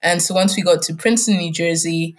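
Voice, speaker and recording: A woman's voice: lowish voice, a little fast. Young German-native woman telling her story. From Germany and Scotland. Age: young adult. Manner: reflective, with fillers and soft laughs. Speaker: student guest. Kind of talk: personal storytelling in an interview.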